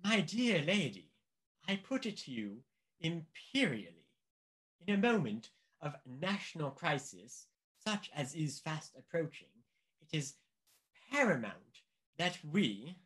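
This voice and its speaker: An actor playing a pompous, condescending British government official in a radio play, speaking formally and emphatically. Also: male voice, fairly high pitch, sing-song, unhurried, fairly bright tone. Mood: insistent.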